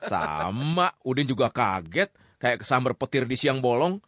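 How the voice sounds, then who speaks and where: A man's voice: medium pitch, big pitch swings, measured pace, fairly bright tone, no room sound. A man hosting and narrating a comic crime story in a playful, teasing way. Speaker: storytelling host.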